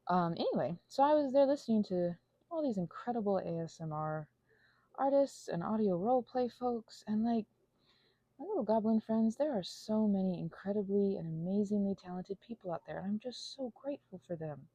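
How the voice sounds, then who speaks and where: A woman with a mellow, creaky voice rambles casually and anxiously to her audience. She stops and starts, wanders into tangents and adds playful, theatrical flourishes. The recording is close and dry. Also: medium pitch, lively intonation, no room sound. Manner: halting, tangent-prone. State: anxious, panicky; unwell. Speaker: ASMR channel host.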